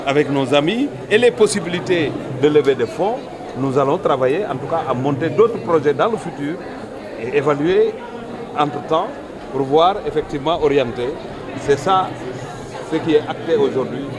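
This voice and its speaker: Male local official, Senegalese accent, slightly high-pitched, emphatic press remarks in a busy public space. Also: lively intonation, moderate pace. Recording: busy crowd behind, urban public space.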